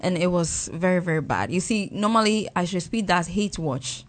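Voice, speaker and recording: Woman, co-host, fairly low voice, relaxed storytelling, casual chat. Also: normal speed. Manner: relaxed, storytelling, hesitant fillers.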